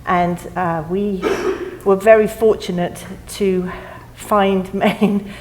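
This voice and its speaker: A middle-aged woman with a foreign, non-American accent speaks on a theater stage panel. She talks in a chatty, rambling way and laughs at herself often. Her pitch sits in the middle. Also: fairly even intonation, slow, darkish tone, slight background noise. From not from the US. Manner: chatty, laughing, self-deprecating. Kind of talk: panel discussion.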